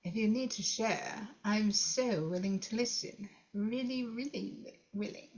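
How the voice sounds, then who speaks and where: A woman's voice: medium pitch, unhurried, darkish tone, lightly acted. A woman playing a nosy, cheeky young companion in a script table read, playful and prying, on a slightly dull recording. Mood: teasing, prying.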